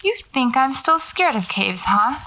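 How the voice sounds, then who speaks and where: Wry lady ghost investigator talking into her tape, slightly high voice, takes her time, audio drama, bit of background noise. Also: sing-song, measured pace, pushed and bright, heavily acted, poor audio. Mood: defiant. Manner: muttering to herself, sardonic, self-coaching. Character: wry, stubborn woman paranormal investigator recording field tapes. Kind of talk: audio drama acting as spoken field notes.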